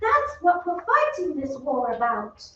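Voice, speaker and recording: A woman's voice: high voice, huge pitch swings, a little slow, pushed and bright, slight background noise, full character voice. A woman playing a polite, tender-hearted young noble girl in an old-time radio drama, earnest and well-mannered. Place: on stage in a theatre. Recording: distant, echoey stage recording, muffled.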